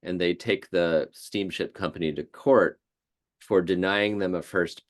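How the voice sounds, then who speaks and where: A man's voice: sits low, steady tone. A man giving a considered, hesitant explanation as a guest lecturer answering questions after a talk. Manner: thoughtful, hesitant, measured. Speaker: guest speaker, historian.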